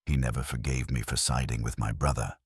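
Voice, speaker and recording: A man voicing an anxious, guarded high-society woman in an audiobook mystery, speaking earnestly and formally with a faltering manner. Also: steady tone, a little fast, slightly rough, no echo, studio quality. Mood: bitter, guarded.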